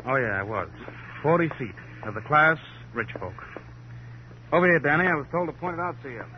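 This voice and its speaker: An actor playing a wry, slangy American police sidekick in an old radio crime drama, with a droll, wordy, mock-formal way of talking. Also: male voice, a little high, lively intonation, a little fast, lightly acted. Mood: droll, chatty. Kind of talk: 1940s-50s radio crime drama acting. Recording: noisy, dull old radio recording.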